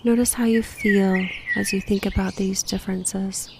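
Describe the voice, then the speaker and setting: A woman leads a guided meditation in a medium-pitched voice with noticeable creak. Her delivery is gentle, breathy and unhurried, at times close to a whisper, offering reflective prompts. Birdsong and faint natural noise sit behind her. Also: fairly even intonation.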